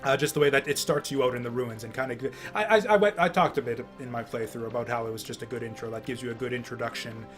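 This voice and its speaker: A man commenting casually on a game he is playing. His voice sits at an average pitch, and he rambles haltingly, repeating himself, with faint music behind. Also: fairly bright tone. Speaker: game streamer. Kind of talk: casual let's play commentary.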